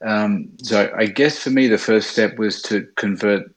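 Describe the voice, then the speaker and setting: A middle-aged man with an Australian accent telling his story as an interview guest, speaking in a hesitant, halting way. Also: lowish voice. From Sydney, Australia. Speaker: interview guest, bush pilot.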